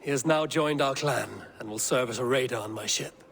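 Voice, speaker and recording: Steadfast Viking raider, mid-pitched. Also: male voice, a little fast, vocal fry, fairly bright tone, slight background noise. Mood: grave, measured. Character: steadfast male Viking raider and clan leader. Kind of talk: dramatic video game acting.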